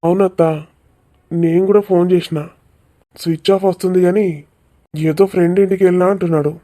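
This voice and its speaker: A woman's voice: fairly low pitch, steady tone, a little fast, dark tone, natural voice. A woman voicing a mild, earnest young village man in a cartoon comedy, speaking plainly. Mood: puzzled, worried.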